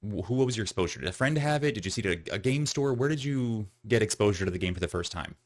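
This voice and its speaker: Man, podcast host, lowish voice, relaxed and friendly, lots of uhs. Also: fast. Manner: relaxed, friendly, filler-heavy. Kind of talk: casual interview chat.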